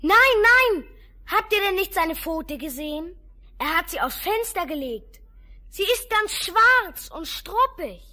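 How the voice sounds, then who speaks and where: High-voiced, cautious eldest goat kid. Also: female voice, very animated, slow, pushed and bright, heavily acted. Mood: warning, firm. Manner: earnest, firm. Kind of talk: German fairy-tale audio play acting.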